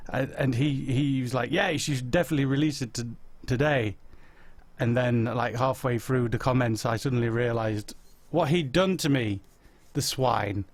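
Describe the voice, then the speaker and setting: A man's voice: medium pitch, moderate pace, no echo. A man in his forties with a Yorkshire accent, casually chatting live and answering viewer questions with self-mocking humour. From Yorkshire, England. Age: 40s. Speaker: host answering viewer chat.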